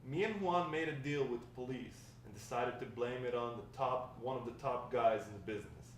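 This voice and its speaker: Very echoey room, distant mic, man playing old grandfather, wry storyteller, halting table-read drama. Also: mid-range voice, slight background noise, lightly acted. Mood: reminiscing. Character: wry old grandfather spinning tales of his drug-dealing past.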